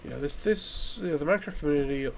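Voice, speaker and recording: A man from the UK, hoarse from a chest infection, chatting casually while playing a game, rambling and swearing with frequent restarts. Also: fairly high pitch, fast talker, darkish tone, slight background noise. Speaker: gaming YouTuber.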